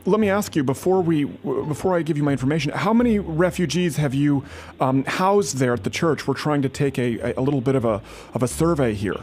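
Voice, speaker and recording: A man's voice: on the high side, fairly quick, darkish tone. A man making a prank phone call, pushy and falsely sincere, often stammering and restarting his sentences. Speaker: prank caller.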